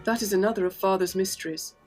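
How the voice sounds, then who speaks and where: A woman playing an earnest, anxious young gentlewoman in a gothic audio drama. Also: medium pitch, fast talker, faint music behind, close to an everyday voice. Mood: troubled, hushed.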